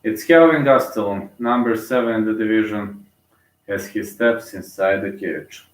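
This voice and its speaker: A man's voice: on the low side, normal speed, echoey room, recorded from a distance, rough recording. A man from Bulgaria with a Bulgarian accent, casually commentating a live fight and rambling with many fillers and restarts.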